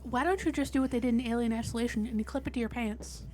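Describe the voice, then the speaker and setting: A woman's voice: a little high, quick pace, a little mellow. A playful, joking woman chatting casually and roleplaying as a player in a tabletop game. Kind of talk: casual banter and in-character roleplay.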